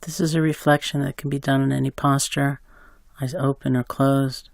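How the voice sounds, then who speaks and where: Calm man guiding a meditation. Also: mid-range voice, vocal fry, no room sound, studio quality. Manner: slow, calm, with long pauses. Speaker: meditation guide. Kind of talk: guided meditation.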